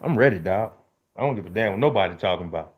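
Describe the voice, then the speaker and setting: A man's voice: on the low side, lively intonation, quick pace, slightly rough. Hyped male co-host on a muffled line. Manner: emphatic, hyped, peppers lines with bro and dog. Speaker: co-host on a sports panel. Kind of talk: casual sports talk.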